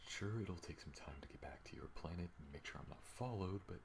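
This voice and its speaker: A man playing a protective spaceship pilot on the run in an intimate ASMR audio roleplay, speaking earnestly and haltingly. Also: low voice, animated, gravelly, background noise. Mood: conflicted, tender.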